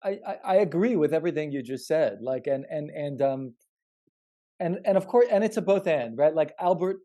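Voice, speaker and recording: Mellow podcast co-host reasoning aloud haltingly. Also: medium pitch, lively intonation. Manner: halting, hedging, repeats words while reasoning aloud. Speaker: podcast co-host discussing own research. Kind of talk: casual podcast conversation.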